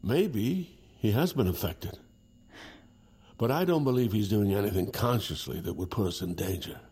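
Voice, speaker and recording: An actor playing a loyal, plain-spoken, steady man with a gruff manner in an audio drama. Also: on the low side, normal speed. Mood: puzzled, protective.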